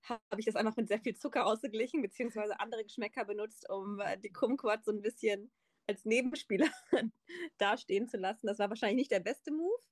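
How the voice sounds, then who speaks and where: A woman's voice: fairly high pitch, fairly quick, no room sound, studio quality. A woman pastry chef as a podcast guest, chatting casually with many fillers and the odd laugh. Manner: relaxed, laughing, filler-heavy. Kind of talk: casual interview chat.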